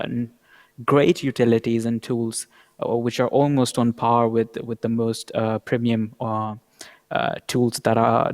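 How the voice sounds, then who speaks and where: A man speaks as a panelist, setting out his points in a considered way. His voice sits at a medium pitch. The recording carries a long, hall-like reverberation.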